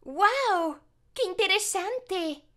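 A woman voicing a cheerful, curious little girl in a preschool cartoon, speaking politely and clearly to small children over children's music. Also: very high voice, lively intonation, extremely slow, full character voice. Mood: curious, excited. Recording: children's music playing behind.

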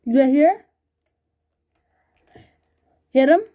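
A woman chatting excitedly while playing an online game with a friend, laughing often and calling everyone bro. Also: high voice, extremely slow, lo-fi sound. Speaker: gamer recording gameplay. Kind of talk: excited gaming banter with a friend.

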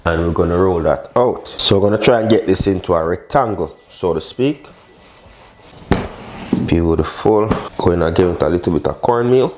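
A man's voice: low-pitched, moderate pace, noisy background. A man, casually talking viewers through a recipe step by step, with short chatty exclamations. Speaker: cooking show host, chef. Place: indoor modern home kitchen.

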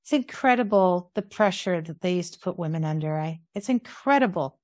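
A woman's voice: lowish voice, lively intonation, brisk, a little mellow, no echo, studio quality. A middle-aged woman hosting a podcast interview in a conversational style.

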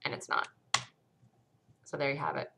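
A woman with a hoarse voice from a cold, bluntly walking through a stock chart in a casual screen-share lesson. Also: moderate pace, background noise. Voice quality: gravelly. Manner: blunt, conversational, thinks aloud while pointing at charts. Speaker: stock trading educator.

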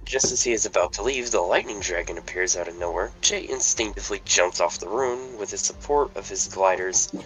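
A man's voice: deep voice, fairly quick, hardly any pauses, a bit bright, rough recording. A man reading the stage directions of a fan table read aloud, stumbling over words now and then. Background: background music.

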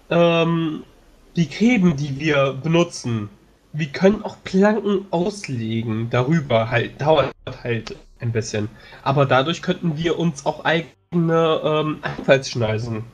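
A man playing a tabletop game, discussing tactics casually in a halting, hesitant way. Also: on the high side. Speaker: player.

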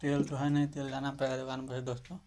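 A man talks through a home cooking vlog in a flat, level voice, narrating every step and calling out to his friends. He is recorded on a handheld phone in a small kitchen. Pots and dishes clatter clearly in the background. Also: medium pitch, fast talker, noisy background. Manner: chatty, keeps addressing friends, narrates each step. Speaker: cooking vlogger. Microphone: handheld phone camera.

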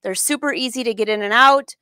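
Middle-aged female craft host for a casual live demo; fairly high voice, chatty with viewers, dry room sound. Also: fast, bright, forceful tone, dry recording. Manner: chatty, informal, peppers talk with questions to viewers. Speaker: host of a live sewing lesson. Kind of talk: casual live craft demonstration with audience chat. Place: sewing studio room, indoors.

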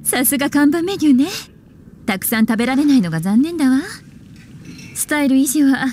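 A woman voicing an elegant, teasing witch librarian in a video game, speaking in an unhurried, coquettish way. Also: high-pitched, lively intonation, dark tone, a little hiss or hum. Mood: playful, relaxed. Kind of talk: Japanese video game voice acting.

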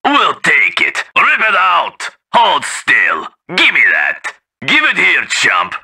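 An actor playing a cocky, menacing Soviet tank commander in a video game, barking punchy taunts over a radio. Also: male voice, fairly high pitch, huge pitch swings, takes it slowly, creaky, pushed and bright, breathy edge, exaggerated voice. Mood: aggressive, taunting. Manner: taunting, punchy barked phrases. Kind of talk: video game unit voice lines.

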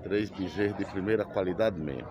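A man's voice: low voice, a little fast. A middle-aged man, chatting casually to viewers as he walks through outdoor livestock pens. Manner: chatty, pointing things out to viewers. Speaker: vlogger visiting a cattle fair. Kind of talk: casual on-the-spot commentary. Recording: busy outdoor crowd noise. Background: crowd chatter.

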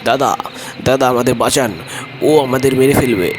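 A man's voice: mid-range voice, steady tone, normal speed, natural voice. A man playing a guilty, terrified younger brother, stammering and pleading in a horror audio story. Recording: clear background noise.

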